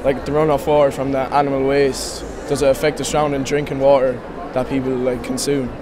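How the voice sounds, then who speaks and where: Teen boy, plain, flat voice. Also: medium pitch, fairly quick, noisy background. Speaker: student exhibitor.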